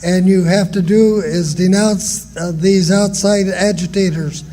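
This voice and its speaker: A man's voice: high-pitched, fairly even intonation, unhurried, mellow, faint music behind. A man playing a threatening small-town sheriff in a radio drama, barking orders and haranguing. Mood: stern, coercive.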